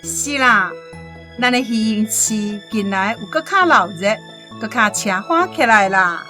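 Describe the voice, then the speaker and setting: A woman's voice: mid-range voice, expressive, a little slow, sharp tone. A woman acting in a Taiwanese radio drama as a vain, pleasure-seeking society wife, showy and self-satisfied. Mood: vain, pleased. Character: vain, fashion-loving, pleasure-seeking married society lady. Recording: music and jingles behind voice.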